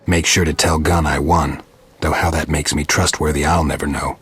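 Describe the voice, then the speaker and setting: An actor playing a gruff, stoic monster hunter in a video game, terse and deadpan with a raspy voice. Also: male voice, very deep voice, normal speed, full character voice. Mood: stoic, dry. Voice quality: gravelly, raspy. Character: gruff, stoic male monster-hunting witcher, terse and dry.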